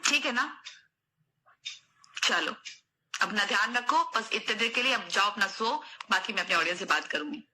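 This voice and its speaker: Woman, sharp forceful voice, casual phone talk, vlogger mediating, faint noise. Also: medium pitch, moderate pace, pauses often.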